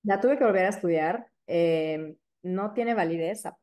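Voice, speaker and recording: A woman in her thirties from Mexico City with a Mexico City accent, a periodontist guest chatting casually and filling pauses with "este" and "eh". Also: on the low side. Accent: Mexico City Spanish. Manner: warm, chatty, many fillers like "este" and "eh". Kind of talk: casual interview chat.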